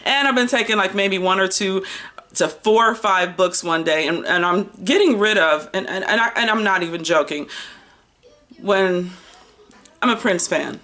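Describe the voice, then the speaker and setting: An American woman in her fifties talking casually as a book vlogger, chatty and animated, wandering off on tangents and drawing out words for emphasis. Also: mid-range voice, moderate pace. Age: 50s. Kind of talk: casual rambling chat to camera.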